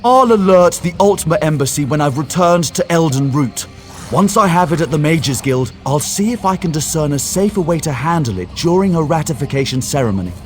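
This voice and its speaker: An actor playing a courteous, scholarly mage in a video game, earnest and excitable, with polite, formal speech. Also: male voice, on the high side, brisk, faint music behind, natural voice. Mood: earnest, concerned. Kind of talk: video game character acting.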